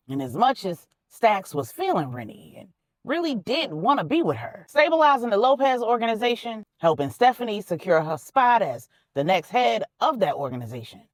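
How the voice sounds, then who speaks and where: Female narrator for colloquial fiction; animated delivery, emphatic and conversational, in a dry recording. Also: medium pitch, no room sound. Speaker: storyteller, author narrating own fiction. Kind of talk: expressive audiobook-style storytelling with colloquial phrasing.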